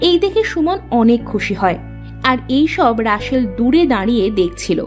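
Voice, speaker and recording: A woman's voice: a little high, sing-song, normal speed, soft background music. A woman reading scripted narration for a cartoon story as its narrator.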